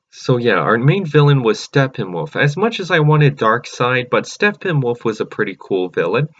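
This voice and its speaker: A man hosting his own channel, chatting excitedly and rambling through a casual movie review with many fillers and restarts. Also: on the low side, muffled recording. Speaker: channel host.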